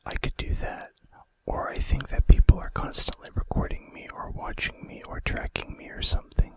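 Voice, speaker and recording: Man whispering softly for ASMR. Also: very high voice, low-quality recording. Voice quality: soft, breathy, unvoiced whisper. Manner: unhurried, casual asides. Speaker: ASMR video host. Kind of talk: ASMR, reading fun facts.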